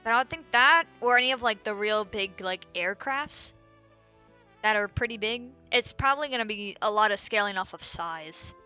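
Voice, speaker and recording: A woman's voice: medium pitch, expressive, moderate pace, bright, forceful tone, clear, resonant tone, muffled recording. A woman streamer chatting casually and thinking aloud while she draws, rambling and muttering to herself. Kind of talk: casual chat while drawing. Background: faint background music.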